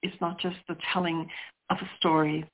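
A woman's voice: lowish voice, flat delivery, normal speed. Reflective middle-aged woman on a phone line. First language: Sicilian. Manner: reflective, searching for words with restarts. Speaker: poet guest. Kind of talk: interview conversation.

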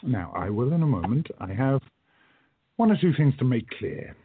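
A man playing a courtly, resolute time-travelling gentleman in a radio play, with formal, emphatic speech. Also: medium pitch, very animated, normal speed, breathy edge, no room sound, phone-quality sound. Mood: stern, warning.

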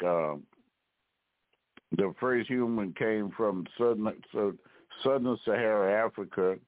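A man with a lowish voice lectures on an internet radio show, stopping and restarting his sentences often. The sound is tinny and narrow, like a phone call, and completely dry with no room around it. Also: no echo. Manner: halting, many restarts and repeated words. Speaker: history talk show host. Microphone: phone line.

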